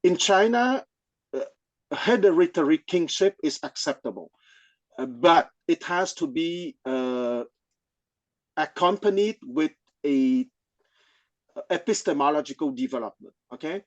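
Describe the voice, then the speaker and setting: A man who is a non-native English speaker, explaining things in an informal scholarly discussion, haltingly and often checking with "okay?". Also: on the high side, animated, dry recording, muffled recording. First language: not English. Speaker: study group participant.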